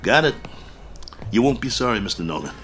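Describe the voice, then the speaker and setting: A man's voice: fairly low pitch, big pitch swings, a little fast, fairly bright tone, soft background music. An actor playing an eager, down-on-his-luck male fight manager who talks in a hopeful, pleading way, in a radio drama. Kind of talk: 1960s-style radio drama acting.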